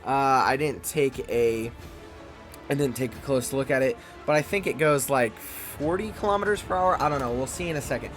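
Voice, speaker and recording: Fast-talking man reviewing a game tank. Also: mid-range voice, soft background music. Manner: fast-talking, loose and chatty. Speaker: gaming channel host.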